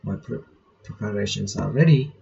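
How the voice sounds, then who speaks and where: A man doing a visual novel playthrough, reading the text aloud with casual asides, a bit halting and stumbling over words. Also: on the low side, vocal fry, warm tone. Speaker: reaction channel host. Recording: dull lo-fi mic, faint background noise.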